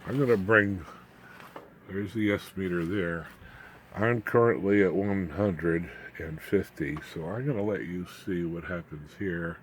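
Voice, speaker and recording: Deep-voiced man over audible background noise. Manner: halting, muttering asides to himself while working. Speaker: hobbyist radio builder demonstrating his equipment. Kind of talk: informal unscripted hands-on demonstration. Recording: clear background noise, room ambience.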